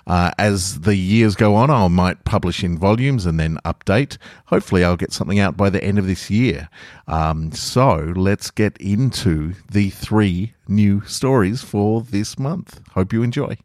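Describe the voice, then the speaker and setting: A man's voice: low-pitched, a little slow, darkish tone, no room sound, studio quality. A man hosting a podcast, talking casually and reading short stories aloud as narrator. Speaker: podcast host and author reading own stories.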